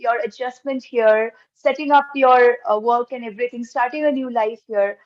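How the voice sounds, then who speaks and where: Fast-talking eager woman interviewer. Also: a little high, fairly even intonation. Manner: polite, eager, with frequent fillers. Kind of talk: conversational interview. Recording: dull lo-fi sound.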